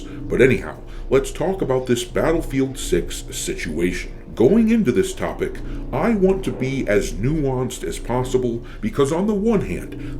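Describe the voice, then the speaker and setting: A man's voice: medium pitch, mellow, a little hiss or hum. A man delivering scripted opinion commentary as a channel host, with a blunt, sardonic, profane manner.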